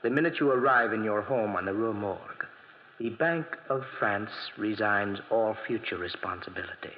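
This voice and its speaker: A man's voice: fairly low pitch, normal speed, close to an everyday voice. A man playing an officious, solicitous banker in a 1940s radio drama, speaking formally and insistently. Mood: solicitous, cautioning. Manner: formal, cautioning. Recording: muffled vintage radio recording with long reverb.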